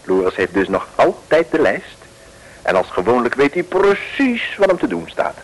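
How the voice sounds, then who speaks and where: A man's voice: mid-range voice, big pitch swings, normal speed, low-quality recording. A man narrating a 1950s radio play in a wry, mock-dramatic way.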